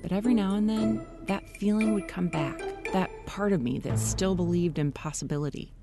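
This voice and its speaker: A woman hosting a podcast, narrating a scripted personal story in a measured, reflective way. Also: lowish voice, vocal fry, loud music behind the voice. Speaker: podcast host.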